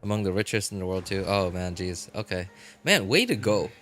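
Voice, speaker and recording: Man, lowish voice, livestream host, casual unscripted chat, halting, rambling, repeats himself. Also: animated, a bit bright, soft background music.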